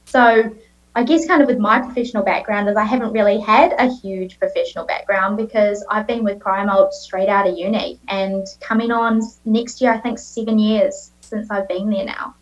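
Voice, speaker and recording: A woman's voice: medium pitch, steady tone, moderate pace. A New Zealand woman in her late twenties talks casually as an interview guest. She rambles a little, filling gaps with ums and restarting her sentences. The recording sounds a bit dull and lo-fi. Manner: chatty, many ums and restarts.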